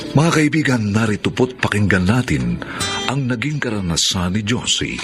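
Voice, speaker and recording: A man's voice: on the low side, very animated, normal speed. A man giving solemn, theatrical scripted narration as announcer of a Filipino radio drama. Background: music.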